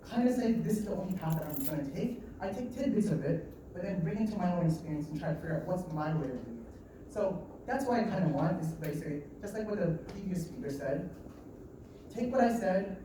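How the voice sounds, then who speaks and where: Nervous young man talking fast. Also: fairly high pitch. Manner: fast, nervous, loose with fillers and restarts. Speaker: guest speaker at a design meetup. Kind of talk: live conference talk. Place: meeting room in an office. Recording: echoey room, distant mic, background noise.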